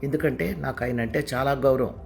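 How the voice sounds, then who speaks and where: A man's voice: medium pitch, a little fast, vocal fry, darkish tone, faint music behind, lightly acted. A man doing a hurt, pleading young husband. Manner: earnest, hurt.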